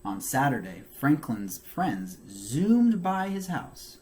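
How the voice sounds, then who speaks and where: Animated man reading a children's storybook. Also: medium pitch, slow, slight background noise. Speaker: teacher reading a children's book aloud. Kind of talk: expressive storybook narration.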